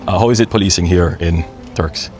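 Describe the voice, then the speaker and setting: A man on an official visit greeting officers courteously and asking brisk questions. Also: sits low, over music.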